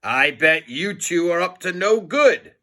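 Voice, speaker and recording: A man voicing a gruff, scornful middle-aged school principal in a storybook, sneering as he gloats. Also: high-pitched, lively intonation, measured pace, exaggerated voice. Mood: suspicious. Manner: gloating, sneering, shouting at boys.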